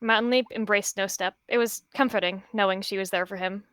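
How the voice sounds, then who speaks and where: Bright-voiced woman narrating a roleplay. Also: mid-range voice, steady tone, brisk, clear, resonant tone, no echo, studio quality.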